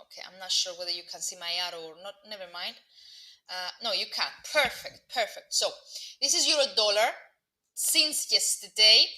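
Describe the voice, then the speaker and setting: A woman's voice: medium pitch, pushed and bright, poor audio. A young woman with a Greek Cypriot accent giving live market commentary to online viewers, hesitant with many fillers and restarts. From Cyprus. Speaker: market analyst presenting a live webinar.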